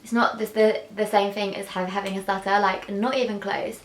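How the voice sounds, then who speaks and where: A woman's voice: medium pitch, little pitch movement, fairly quick. Woman with a stutter, echoey room. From England. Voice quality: stutter with sound and word repetitions. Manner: open, heartfelt, many fillers. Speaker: vlogger. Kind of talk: candid talk to camera. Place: small bedroom indoors. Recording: echoey bedroom.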